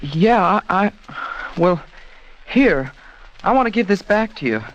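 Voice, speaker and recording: A man's voice: high-pitched, animated, a little slow. A male actor playing a troubled, defiant but remorseful American schoolboy in an old-time radio drama. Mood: sheepish. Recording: noisy, dull old radio recording.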